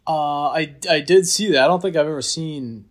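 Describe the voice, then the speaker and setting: A man's voice: a little high, moderate pace. A man co-hosting a podcast, giving blunt, dry verdicts on a movie in casual chat. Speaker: podcast co-host.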